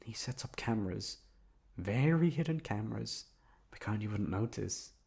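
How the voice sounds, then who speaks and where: Man acting a low-voiced obsessive lovesick young man. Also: animated, gravelly. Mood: creepy, coy. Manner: soft-spoken coaxing, drawn-out words, emphatic repetition. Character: obsessive lovesick young man confessing to his best friend. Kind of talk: intimate ASMR roleplay storytelling.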